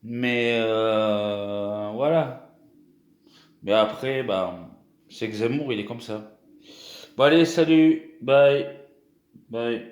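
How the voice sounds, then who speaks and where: A man's voice: mid-range voice, extremely slow, long pauses, roomy sound. A young man from France talking casually to his viewers in a vlog, hesitant, with many restarts and fillers. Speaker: YouTuber.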